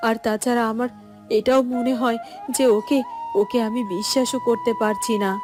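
A woman voicing an anxious, suspicious queen in a cartoon, fretful and haltingly repeating her words. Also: on the high side, natural voice. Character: anxious, suspicious queen, protective mother of a prince. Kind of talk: Bengali cartoon voice acting.

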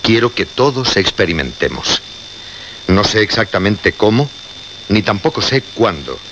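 An actor playing a solemn, ominous radio host of the occult in a horror radio play, with deliberate dramatic pauses and repetitions. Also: male voice, on the low side, big pitch swings, fairly bright tone, full character voice. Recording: muffled, noisy vintage radio recording.

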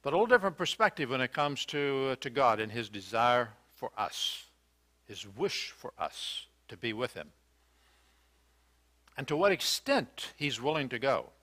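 Older man preaching with a bright voice. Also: medium pitch, very animated, normal speed. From United States. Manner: earnest, questioning, with hesitant fillers and restarts. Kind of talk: sermon. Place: church sanctuary, indoors.

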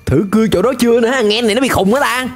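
A man's voice: very high voice, very fast. A young man with a southern Vietnamese accent giving casual, exclaiming commentary on a cartoon as he watches it. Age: young adult. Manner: animated, exclaiming. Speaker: reaction streamer. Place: indoor streaming room. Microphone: close desk microphone, headset on. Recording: music playing behind the voice.

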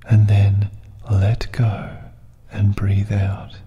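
A man softly narrating a hypnotic guided meditation in a soothing, deliberate way, with breathy phrases and long pauses. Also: fairly low pitch, monotone, lo-fi sound. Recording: long reverb, music bed underneath. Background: music.

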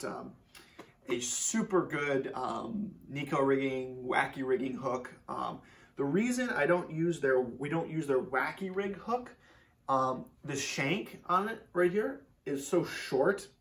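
A young man from Minnesota explaining fishing tackle in a casual, relaxed way, with lots of fillers, seated in a garage. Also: medium pitch, recorded from a distance. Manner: relaxed, many fillers, repeats words for emphasis. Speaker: fishing channel host. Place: garage workshop, seated indoors. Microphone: camera a few feet away.

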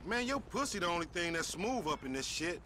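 An actor playing a streetwise young hustler in a game cutscene, talking cocky, profane street slang. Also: male voice, high voice, big pitch swings, a little fast, noisy background. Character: streetwise young male car repo hustler. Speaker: video game protagonist. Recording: loud game score and gunfire behind.

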